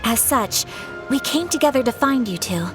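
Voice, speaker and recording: A woman's voice: a little high, expressive, normal speed, close to an everyday voice. A woman playing a graceful, courteous young noblewoman in a video game, speaking formally. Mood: poised, polite. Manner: polite, poised, formal. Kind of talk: scripted game dialogue. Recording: music behind the voice.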